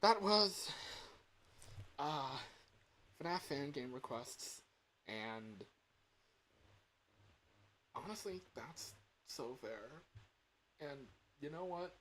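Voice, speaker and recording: A man with a worn-out voice chatting casually on a livestream, halting and rambling as he comments on games. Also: a little high, animated, a bit bright, slight background noise. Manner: rambling, halting, breaks into chuckles.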